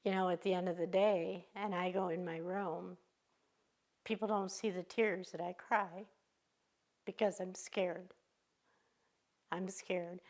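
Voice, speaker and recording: A woman in her fifties from Michigan speaking earnestly and with determination in a news interview, pausing often as she talks. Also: fairly low pitch, steady tone, a little mellow. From Michigan, USA. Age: 50s. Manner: earnest, determined, halting with pauses.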